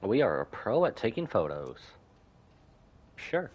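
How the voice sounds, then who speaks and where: A man's voice: lowish voice, huge pitch swings, measured pace, a little mellow. A man giving casual live commentary as he streams a game. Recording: dull audio over game music. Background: game music.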